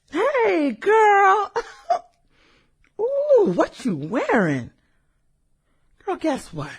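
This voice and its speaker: A woman acting a brash, vain ex-cheerleader in a satirical radio play. Her voice is fairly high and swoops freely, with unhurried, drawn-out sassy lines. She loves gossip and dramatic exclamations. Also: husky, exaggerated voice. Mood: chatty excited. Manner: sassy, drawling, theatrical exclamations.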